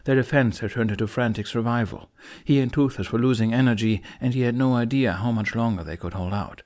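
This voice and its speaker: A male audiobook narrator with a medium-pitched voice. He reads action-heavy fantasy prose in a dramatic, scripted storytelling style. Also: brisk, darkish tone.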